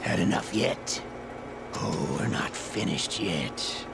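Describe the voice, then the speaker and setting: An actor playing a sadistic, theatrical Russian veteran interrogator in a video game, gravelly and menacing, savouring his pauses. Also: deep voice, expressive, very noisy, exaggerated voice. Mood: taunting. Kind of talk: video game cutscene acting. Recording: music under the voice.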